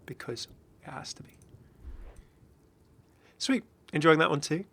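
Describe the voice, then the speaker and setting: A man hosting a gaming video with casual, excited reaction commentary in a rambling, exclamatory way. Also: a little high, unhurried, creaky, a little hiss or hum. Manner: rambling, exclamatory, chuckling asides.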